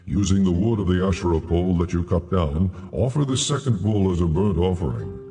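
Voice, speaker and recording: A man playing God in a dramatized audio Bible, solemn, authoritative and measured. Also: sits low, animated, warm tone. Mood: solemn, instructing. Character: God, calm commanding divine male voice.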